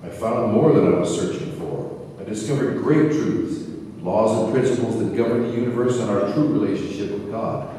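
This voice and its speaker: A man's voice: on the low side, normal speed, warm tone, natural voice. A man playing a genial, inquisitive elderly spiritual teacher in an amateur radio play. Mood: reflective, assured. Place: large reverberant hall. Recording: very echoey hall, distant mic, faint noise.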